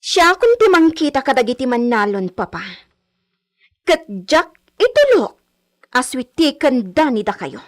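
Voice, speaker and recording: A woman playing a haughty, spoiled young landowner's daughter in a Filipino radio drama, with a Filipino accent and drawn-out, Spanish-flavoured phrasing. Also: sits high, big pitch swings. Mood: haughty, coaxing. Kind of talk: Ilocano radio drama acting.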